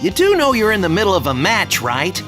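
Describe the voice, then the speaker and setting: A woman's voice: medium pitch, huge pitch swings, brisk, music in the background. A woman playing an exasperated, short-tempered male martial artist sidekick in an anime game, with a scratchy voice. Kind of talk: anime video game voice acting.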